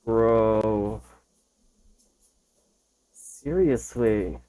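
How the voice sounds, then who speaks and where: A reaction video host giving casual commentary in short, halting phrases, thinking aloud. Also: medium pitch, warm tone, smooth, poor audio.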